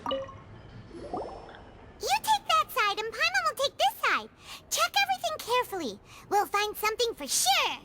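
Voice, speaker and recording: Childlike female fairy sidekick, squeaky, very animated intonation, excitable, easily frightened, video game character. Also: a little slow, long pauses, background noise, full character voice. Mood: determined. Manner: refers to self in third person, exclamatory. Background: game music.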